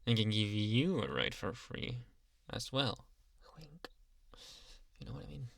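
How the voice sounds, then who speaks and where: A man playing an obsessive, doting young yandere Uber driver in an intimate ASMR roleplay, coaxing and smugly pleased with himself, with halting speech and soft whispered asides. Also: medium pitch, expressive, gravelly, no room sound, clean studio sound. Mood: teasing, doting.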